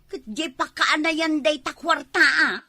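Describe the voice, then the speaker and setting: A woman's voice: high-pitched, a little slow, bright, forceful tone, no room sound, full character voice. A woman with an Ilocano accent playing a bossy, nagging village wife in an amateur comedy drama. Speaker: actor in comedy skit.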